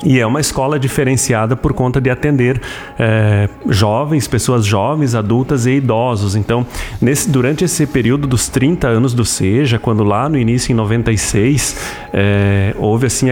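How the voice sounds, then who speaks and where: A man in his thirties with a Brazilian accent explaining things as an interview guest, haltingly, with frequent tag questions. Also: mid-range voice, darkish tone. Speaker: interview guest, school administrator.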